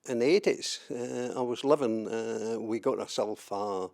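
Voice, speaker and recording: A man's voice: medium pitch, a little slow, gravelly, darkish tone. An older Scottish man from southwest Scotland, recalling his life in an oral history interview, hesitant with many fillers and repeated words. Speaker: interviewee, trade unionist.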